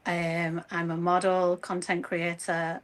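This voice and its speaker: A woman in her forties introducing herself calmly as a guest on a panel show over a video call. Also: lowish voice, slow pace. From United Kingdom. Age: 40s.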